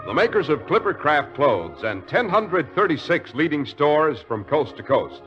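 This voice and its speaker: A man's voice: fairly high pitch, very animated, a little slow. Vintage male radio announcer. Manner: smooth, formal. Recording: dull old radio recording with loud music.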